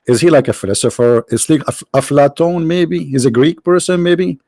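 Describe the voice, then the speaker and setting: A man whose first language is Arabic hosting a live stream, talking sarcastically, halting often on fillers and now and then breaking into mock singing. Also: on the high side, dark tone, no room sound, studio quality. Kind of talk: mocking religious debate stream.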